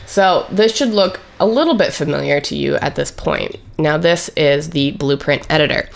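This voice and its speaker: Low-voiced woman teaching, recovering from a cold. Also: vocal fry. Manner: measured, explanatory, with frequent fillers like "basically". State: getting over a cold. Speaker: course instructor. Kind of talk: screen-recorded software tutorial.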